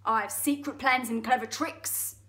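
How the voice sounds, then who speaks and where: A woman's voice: a little high, bright, forceful tone. Woman voicing a greedy, boastful, enormous storybook crocodile. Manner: gloating, drawn-out menace.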